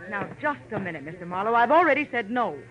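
A woman playing a brisk, suspicious noir lady in a 1940s radio drama, crisp and impatient. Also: mid-range voice, animated, soft background music, close to an everyday voice. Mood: suspicious, curt. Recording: dull old radio recording.

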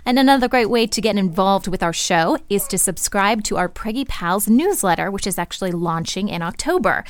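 A woman's voice: medium pitch, expressive, brisk. A woman hosting a pregnancy podcast in a studio, upbeat and chatty. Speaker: podcast host. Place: radio studio.

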